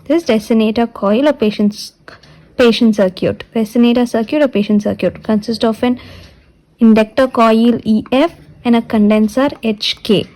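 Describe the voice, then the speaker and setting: Woman lecturer, fairly high voice but soft-edged and mellow, explaining technical material step by step with deliberate repetition. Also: steady tone, low-quality recording. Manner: repeats and restates points deliberately. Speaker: physiotherapy lecturer.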